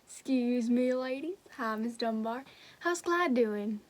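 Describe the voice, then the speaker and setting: Neighborly young American farmer, acted by a woman: high-pitched, folksy, amateur stage delivery. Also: expressive, slow pace. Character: neighborly young farm man, a small-town villager. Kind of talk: amateur stage-play acting.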